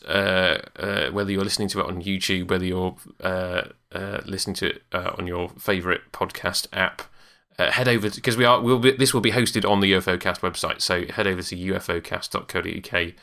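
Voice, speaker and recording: Man, podcast co-host, very low pitch, forceful tone, hesitant, casual. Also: clean tone. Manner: hesitant, lots of ums and uhs. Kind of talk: casual chat.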